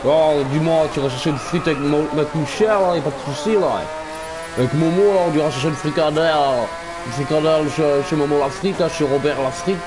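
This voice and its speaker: A man's voice: fairly high pitch, a little fast, background noise, pitched above the performer's own voice, close to an everyday voice. A man voicing a boisterous, friendly Belgian man, with an exaggerated Belgian French accent. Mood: jovial.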